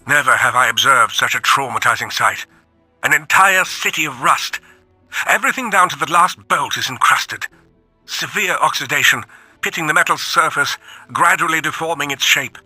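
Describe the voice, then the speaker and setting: A grave machine being recording a war log for a video game. The voice sits a little high, very bright and forceful, and speaks formally over faint music. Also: male voice, animated, moderate pace, husky, dry recording, full character voice. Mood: grave, troubled. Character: robot soldier and chronicler, grave and urgent. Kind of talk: dramatic scripted audio log.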